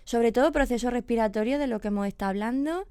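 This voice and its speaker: A woman's voice: on the high side, fast talker, warm tone, smooth, dry recording. A woman, a veterinarian explaining pet illnesses patiently on a radio chat, checking in with her listener as she goes. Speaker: veterinarian guest.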